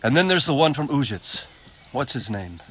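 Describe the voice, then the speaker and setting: A man playing a gruff, weary, wounded lord in a medieval video game. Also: mid-range voice, very animated, moderate pace, a little hiss or hum, natural voice. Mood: weary, pained. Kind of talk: video game dialogue acting.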